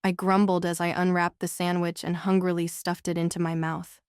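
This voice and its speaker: Woman for novel narration, lowish and smooth, scripted storytelling read in a dry space. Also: flat delivery, moderate pace, no echo. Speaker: audiobook narrator.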